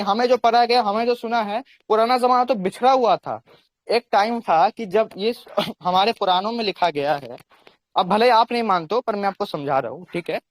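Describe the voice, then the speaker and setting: A man's voice: high-pitched, no echo. An Indian man arguing and explaining in a religious debate on a call, insistently repeating phrases to take the floor.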